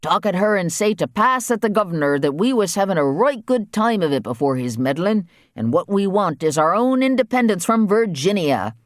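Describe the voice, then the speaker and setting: A narrator plays a wild, angry island waterman from an audiobook novel. His voice is pushed high and swings around, with agitated, defiant, folksy dialect in a dry recording. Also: big pitch swings, moderate pace, hardly any pauses, no room sound, natural voice. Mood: aggrieved.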